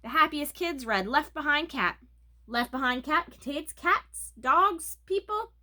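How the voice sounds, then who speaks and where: A woman's voice: sits high, animated, measured pace, pitched above the performer's own voice, exaggerated voice. A woman voicing an earnest, bold yet easily flustered eight-year-old girl in a storybook reading. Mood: performing, flustered. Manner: loud, clear declaiming when performing.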